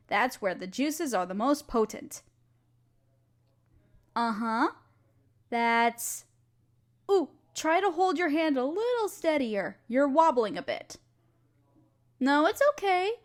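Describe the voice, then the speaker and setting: High-voiced, encouraging alchemist woman acted out by a woman. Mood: patient, instructive, then reassuring. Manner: gentle, patient, reassuring teacher. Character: kind, encouraging female alchemist teaching her apprentice. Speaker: voice actor in a scripted audio roleplay. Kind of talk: intimate scripted roleplay, one-sided conversation with listener.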